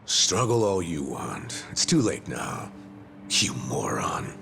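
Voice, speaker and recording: An actor playing a sardonic, determined older disgraced cop in a video game drama, with a folksy, taunting way of talking. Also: male voice, deep voice, wildly swooping pitch, gravelly, sharp tone, soft background music, full character voice. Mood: triumphant. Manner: taunting, folksy, drawn-out delivery. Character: gruff, sardonic older discharged male cop, smug and determined.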